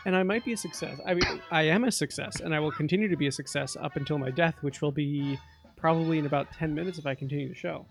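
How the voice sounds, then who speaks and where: A man co-hosting a comedy podcast, playing up melodramatic despair with deadpan exasperation. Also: fairly high pitch, fast talker, vocal fry, faint music behind. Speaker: podcast co-host. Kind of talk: comedic improvised banter. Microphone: studio microphone and headphones.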